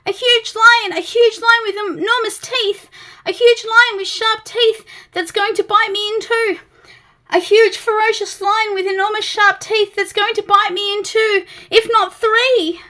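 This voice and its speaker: Mister Jelly, a woman voicing a shrill panicky little man. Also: fairly even intonation, a little slow, pushed and bright, full character voice. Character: jittery tiny man, panicky and easily frightened.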